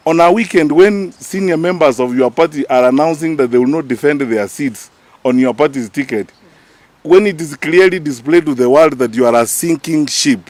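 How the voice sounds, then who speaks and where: A man's voice: on the high side, normal speed, darkish tone, no echo. Kenyan male, party official addressing the press; emphatic, indignant, unscripted political delivery. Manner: emphatic, indignant, rhetorical questioning. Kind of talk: press conference statement, spoken off the cuff.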